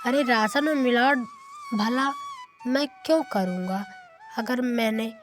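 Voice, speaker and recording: A woman's voice: on the high side, unhurried, soft background music, lightly acted. A woman voicing a wronged, bitter young village man seeking justice in a cartoon tale. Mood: hurt, bitter.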